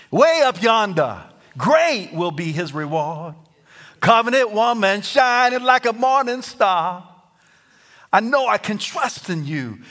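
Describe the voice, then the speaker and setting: Southern man preaching, fairly high voice, energetic pulpit delivery, jumps in loudness. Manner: lively preaching, rising to near-shouts and singing. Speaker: pastor. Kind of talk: sermon.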